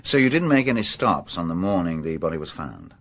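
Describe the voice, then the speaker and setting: An actor playing a methodical police inspector in a radio crime drama, asking measured, polite, probing questions. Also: male voice, low-pitched, big pitch swings, a bit bright, exaggerated voice.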